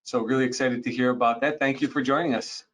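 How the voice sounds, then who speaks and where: A man's voice: medium pitch, fairly even intonation, fast talker. Man hosting a business podcast. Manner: friendly, with many "you know" fillers. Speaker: podcast host, company president.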